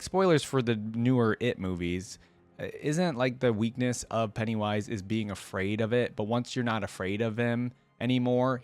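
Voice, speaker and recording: A man's voice: fairly low pitch, dry recording. A man hosting a reaction video in a casual, conversational way, thinking aloud as he comments and reads along. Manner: conversational, thinks aloud, asks viewers questions.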